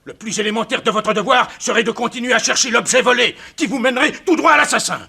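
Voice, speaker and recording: Casting: blustering planter, mob-leader type, very high sharp voice, haranguing, 1960s radio play. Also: male voice, fast talker, gravelly, breathy edge, exaggerated voice. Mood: indignant, threatening. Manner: accusatory, haranguing, domineering. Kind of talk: 1960s French radio drama acting.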